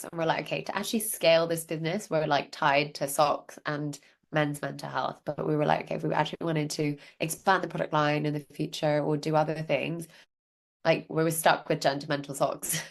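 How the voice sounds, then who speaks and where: A woman's voice: deep voice, steady tone, brisk, gravelly. A young woman from Hong Kong, a founder being interviewed, telling her story in a chatty way with many filler words. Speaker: guest, social enterprise founder.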